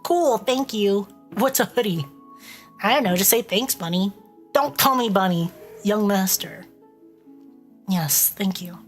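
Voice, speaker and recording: A woman's voice: medium pitch, animated, measured pace, pauses often, soft background music. A woman streamer with a stuffy, congested voice, reading a visual novel aloud and breaking off to joke with her chat. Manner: playful, reads dialogue aloud and breaks off to joke.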